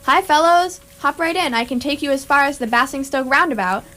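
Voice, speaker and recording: A woman's voice: high voice, fairly quick, pushed and bright. A woman playing a bewildered, drily sarcastic human space traveler in an amateur radio comedy. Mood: bewildered, wry. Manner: plaintive, dry, polite incredulity. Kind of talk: amateur radio play acting. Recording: clear background noise.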